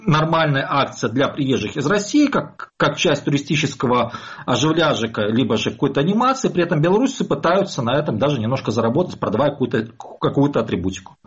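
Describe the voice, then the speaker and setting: A man giving analytical commentary as an expert, explaining in a halting, self-correcting way over dull, lo-fi audio. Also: mid-range voice, big pitch swings, breathy edge.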